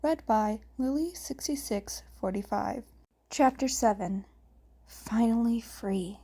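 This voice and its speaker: Female fan fiction narrator, slow and halting, mellow tone, slightly high pitch. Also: breathy edge. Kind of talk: scripted narration of a story.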